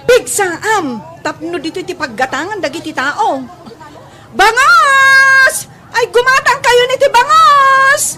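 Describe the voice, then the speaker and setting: A woman's voice: very high voice, slow pace, full character voice. A woman playing a scolding market fish-vendor wife in an Ilocano comedy radio drama, with an Ilocano accent. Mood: loud, bossy. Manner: scolding, loud vendor calls. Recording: noisy mix with faint crowd behind.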